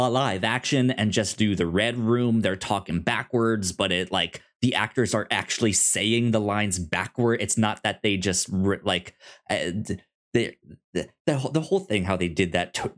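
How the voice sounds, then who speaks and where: A man co-hosting a podcast in casual chat, halting and often repeating words as he works out his thoughts. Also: lowish voice. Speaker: podcast co-host discussing a TV series.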